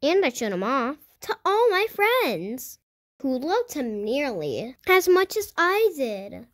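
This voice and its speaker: A woman reading a picture book aloud to children in an animated storytelling style. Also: high-pitched, takes it slowly. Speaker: children's storybook reader and host. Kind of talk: animated read-aloud narration for kids.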